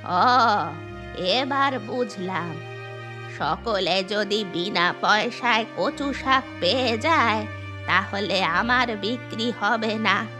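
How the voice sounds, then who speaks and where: A woman's voice: medium pitch, very slow, music in the background. A woman voicing a stingy, resentful old village grandmother in a cartoon story, grudging and stubborn. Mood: grudging, spiteful.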